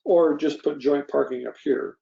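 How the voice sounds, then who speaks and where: Male commissioner, gravelly fry in a fairly high mellow voice, methodical questioner. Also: moderate pace, muffled recording, a little hiss or hum. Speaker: planning commission member. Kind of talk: informal meeting discussion.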